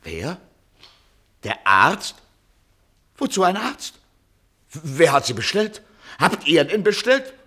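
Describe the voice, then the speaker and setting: Frantic radio station boss guy, high voice, swings all over, stammery and blustery, radio drama. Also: wildly swooping pitch, slow, pauses often, sharp tone, exaggerated voice. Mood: furious, frantic. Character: frantic, bewildered male radio station director losing his grip. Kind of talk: German radio play acting.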